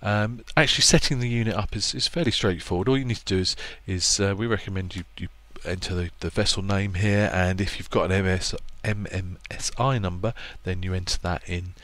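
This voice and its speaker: A man giving a relaxed, plainspoken voice-over walkthrough of a product's setup, with plenty of ums and restarts. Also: deep voice, fairly quick, hardly any pauses, no echo. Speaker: product presenter for a marine electronics maker. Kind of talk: casual screen-recorded product walkthrough.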